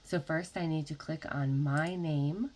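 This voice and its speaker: A woman narrating an instructional software tutorial as a trainer, explaining methodically one step at a time. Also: low-pitched, fairly even intonation, measured pace.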